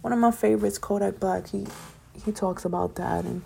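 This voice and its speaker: A young woman hosting a solo podcast late at night, chatting casually and rambling about staying positive, her voice getting raspy. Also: medium pitch, fairly even intonation, fairly quick, warm tone, slight background noise. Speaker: podcast host.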